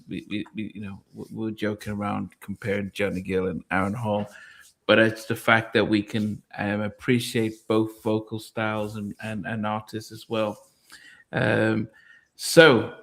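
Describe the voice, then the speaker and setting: A man's voice: lowish voice, gravelly, a bit bright. A man hosting a casual podcast, talking loosely with many fillers and restarts and laughing easily. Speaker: podcast host.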